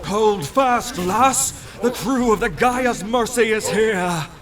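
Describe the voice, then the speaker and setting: A man's voice: high voice, slow pace, crowd noise. A man playing a loyal ship's captain in an audio drama, calling out with a hearty, commanding delivery. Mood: rousing. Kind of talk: cinematic audio roleplay acting.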